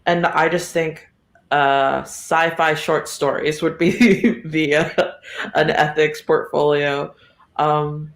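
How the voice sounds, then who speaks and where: A woman chatting casually as a podcast guest, wry and halting, recorded with a roomy echo. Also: low-pitched, level tone. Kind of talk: casual chat. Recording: roomy, echoey sound.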